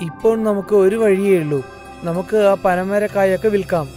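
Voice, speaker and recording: Guy voicing humble farmer brother in a kids cartoon, highish voice, sincere n agreeable, bit of music. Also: normal speed, warm tone, lightly acted. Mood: firm, hopeful. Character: loyal good-natured younger brother, a humble hopeful farmer. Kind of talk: dubbed children's fairy tale cartoon. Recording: faint music under the voice.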